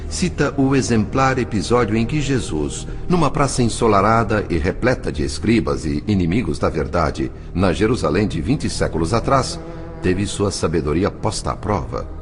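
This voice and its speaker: A man with a Brazilian accent playing an earnest, gentle spirit narrator, telling the story in a solemn, measured audiobook style with drawn-out phrase endings. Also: deep voice. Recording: studio narration with music underneath. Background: music.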